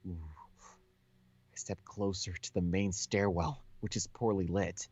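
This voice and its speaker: A man with a fairly low voice reads game narration aloud in a casual playthrough style. The sound is close and dry, with a slightly dull, lo-fi microphone. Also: fairly even intonation, takes it slowly, a little mellow, no room sound. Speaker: co-host playing and reading a visual novel aloud. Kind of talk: reading game text aloud with casual reactions.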